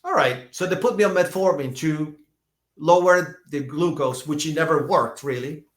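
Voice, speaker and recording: A man in his fifties, a doctor being interviewed, telling stories in a lively way, often restarting and repeating words. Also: on the high side, moderate pace, echoey room. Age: 50s. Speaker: functional medicine doctor, guest. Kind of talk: conversational podcast interview.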